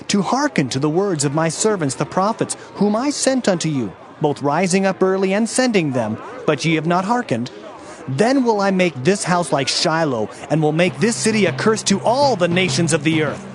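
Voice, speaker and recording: High-voiced Jeremiah, earnest prophet played by a man. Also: animated, moderate pace, lightly acted. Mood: grave warning. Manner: declamatory, earnest. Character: Jeremiah, earnest male prophet warning his people. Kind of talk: dramatized scripture reading.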